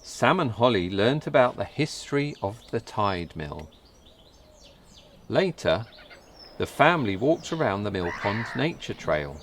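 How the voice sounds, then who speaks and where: A man reads a story for young children in a lowish voice. He goes very slowly and carefully, with plenty of rise and fall in his pitch. There is light background ambience with some birdsong. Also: sing-song, slight background noise. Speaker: storybook reader. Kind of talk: scripted children's story narration.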